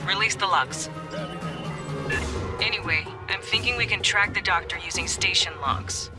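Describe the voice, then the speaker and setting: Game casting: male actor as sardonic, brash marine sergeant, higher register, salty squad-leader talk over heavy music. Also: normal speed, close to an everyday voice. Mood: businesslike. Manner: casual, sardonic, profane military talk. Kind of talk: video game voice acting, radio briefing.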